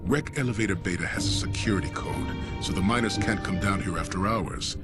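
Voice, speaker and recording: A devoted, anxious father played by a male actor in a sci-fi video game. His voice sits fairly low. Loud music swells behind his lines. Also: brisk, lightly acted. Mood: earnest. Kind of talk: dramatic video game cutscene acting.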